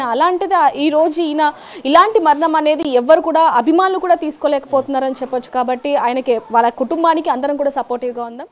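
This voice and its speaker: A woman's voice: sits high, a little mellow. A woman reporting live news from the field in a wordy, emphatic way.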